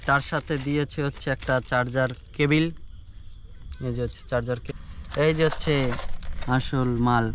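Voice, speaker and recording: A man's voice: mid-range voice, fairly even intonation, moderate pace, long pauses. A young man chats casually to camera in an informal, buddy-like way, as in an unboxing vlog. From rural India. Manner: informal, buddy-like address, repeats filler phrases. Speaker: moto vlogger. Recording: noisy outdoor ambience.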